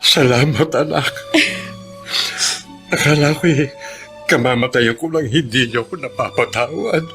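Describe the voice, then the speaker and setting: Humble elderly Filipino father, bright voice. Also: medium pitch, a little slow, faint music behind. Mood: grateful, moved. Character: remorseful, humble elderly father seeking forgiveness. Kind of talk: Filipino radio drama acting.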